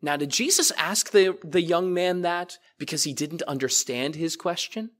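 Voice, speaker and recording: A man's voice: fairly high pitch, moderate pace. A man preaching a sermon as pastor to his congregation, talking to them directly and casually. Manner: conversational, addresses congregation directly. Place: church.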